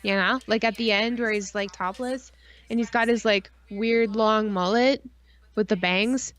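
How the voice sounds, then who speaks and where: A woman co-hosts a live stream in a voice of average pitch. She talks casually and rambles along like an enthusiastic geek. Her speech is full of slang and swearing. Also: steady tone, measured pace, fairly bright tone. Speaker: podcast co-host.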